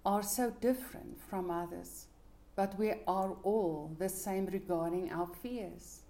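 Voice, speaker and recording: A middle-aged woman whose first language is Afrikaans, giving an earnest, measured motivational talk about her own life. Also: mid-range voice, dark tone. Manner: earnest, measured, with small restarts.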